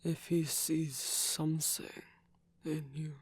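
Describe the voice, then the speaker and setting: ASMR story, man acting protective guardian, stern, formal, very husky, slow-spoken. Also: a little high, flat delivery. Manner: measured, formal, deliberate. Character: stern, protective male guardian of a young vampire.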